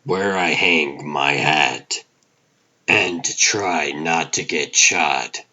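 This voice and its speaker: A grim, world-weary vigilante detective, acted by a man, narrates a noir comic drama in a very low voice. His delivery is slow and terse, with a forceful, husky edge. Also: highs cut off, full character voice. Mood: weary, brooding. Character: brooding, world-weary older male vigilante detective, presumed dead. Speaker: narrator and voice actor of a comic dub. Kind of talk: dramatic first-person noir scripted narration.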